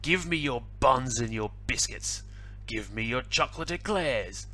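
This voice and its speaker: A man doing a loud, greedy robber rat. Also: medium pitch, lively intonation, a little slow. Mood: bellowing greedy. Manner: loud, blustering demands. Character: greedy bullying male highway robber rat. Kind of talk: picture-book read-aloud.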